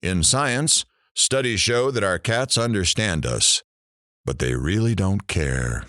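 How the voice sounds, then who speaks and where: An actor playing a sardonic morning radio host in an audio drama, with dry, theatrical sarcasm. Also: male voice, sits low, animated, slow, sharp tone, dry recording, heavily acted. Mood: dry, sarcastic. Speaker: radio talk show host.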